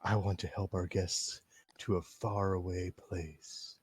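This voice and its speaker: A man reading the lines of a shy, intense teenage schoolgirl in a game read-along, soft and measured. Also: sits low, level tone, husky, no echo, heavily acted. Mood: calm. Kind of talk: read-aloud of a game script with friends.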